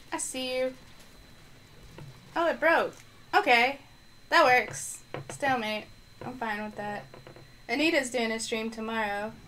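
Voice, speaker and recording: A woman in the middle of a live game stream, talking easily to her viewers between matches. Her pitch sits at an ordinary middle height, and she rambles and thinks out loud in a relaxed, conversational way. A faint hiss of noise sits under her voice from a mic set on the floor. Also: poor audio. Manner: chatty, thinking aloud and reading out chat. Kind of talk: live gameplay stream commentary. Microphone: microphone on the floor.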